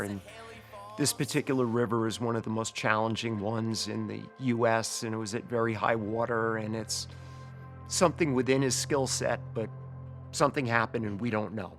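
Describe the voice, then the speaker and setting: Middle-aged man, mid pitch, reflective interview delivery, music behind. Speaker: interviewee, father.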